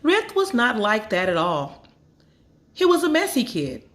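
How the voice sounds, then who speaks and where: A woman reading a children's story aloud as a storyteller, with measured, expressive phrasing and dramatic emphasis. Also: a little high. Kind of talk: expressive scripted story narration.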